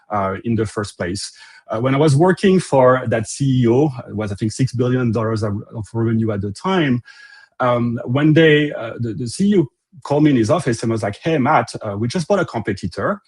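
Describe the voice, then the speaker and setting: French-accented man with a husky voice. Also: medium pitch, a little mellow. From France. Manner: loose and conversational, frequent uh fillers and restarts. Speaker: company CEO presenting a webinar. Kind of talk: presentation to an online audience.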